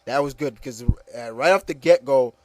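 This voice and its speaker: A man's voice: mid-range voice, brisk, dry recording. A man giving casual, rambling opinion commentary, repeating phrases and addressing listeners emphatically. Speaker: video commentator.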